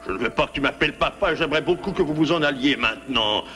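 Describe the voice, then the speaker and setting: A man's voice: on the high side, fast, lightly acted. A man voicing a lonely, grieving older wild boar in a French cartoon, kindly, reserved and melancholy. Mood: sad, withdrawn. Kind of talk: cartoon dub acting. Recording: reverberant track under music.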